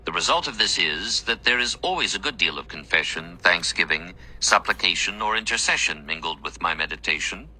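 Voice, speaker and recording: Deep-voiced man, bright and forceful devotional reading. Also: lively intonation, brisk, few pauses, rough recording. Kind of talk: scripted devotional reading of a written text.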